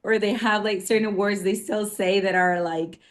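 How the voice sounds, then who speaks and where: A woman's voice: medium pitch, steady tone, normal speed. A woman with a Spanish accent, a former nanny, telling stories in a casual interview with many fillers. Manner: warm storytelling, many fillers.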